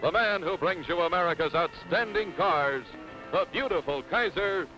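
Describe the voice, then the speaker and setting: High-pitched vintage male announcer over music. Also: normal speed, bright, forceful tone, low-quality recording. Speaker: sponsor announcer. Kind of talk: 1950s television show opening announcement.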